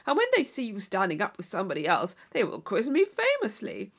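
A woman voicing a brash, boastful young gentleman of Regency England in an audiobook, blustering through exclamations and mild oaths. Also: mid-range voice, wildly swooping pitch, a little fast. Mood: blustering, aggrieved. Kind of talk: audiobook character voice.

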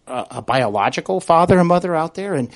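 An American man speaking conversationally as a podcast interviewer, attentive and a bit halting in his phrasing. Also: a little high, animated.

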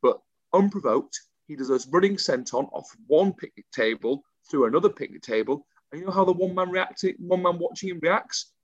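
A young English man co-hosting a podcast in casual chat, ranting with animated, emphatic energy. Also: on the high side, a little fast, no echo. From England. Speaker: podcast co-host. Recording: dull dry home mic.

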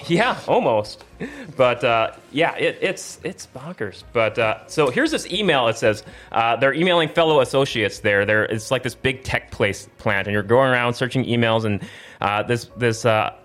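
A man hosting a casual talk show, excitedly rambling with stammers and slipping into hammy script narration. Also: medium pitch, moderate pace. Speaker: show host. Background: suspenseful crime music playing in the background.

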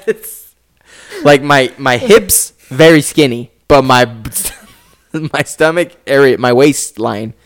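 A man's voice: medium pitch, animated, slow pace. A young man co-hosting a podcast, chatting casually and laughing often at his own jokes. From Nevada. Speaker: podcast co-host. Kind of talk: casual joking chat.

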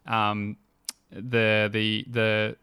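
Smooth-voiced male interviewer. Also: on the low side, monotone, extremely slow, bright, forceful tone. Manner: reflective, affirming, hesitant phrasing. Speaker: interviewer, host. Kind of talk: conversational interview.

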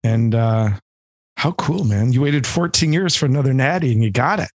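A man's voice: mid-range voice, expressive, moderate pace, darkish tone, no echo. A man from Michigan co-hosting a podcast, chatting casually with a wry, joking manner. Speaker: podcast co-host. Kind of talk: casual chat. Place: home.

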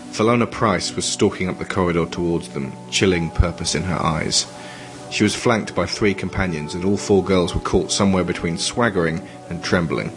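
Low-voiced man narrating over music. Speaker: narrator and project creator. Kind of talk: scripted narration of an audio drama.

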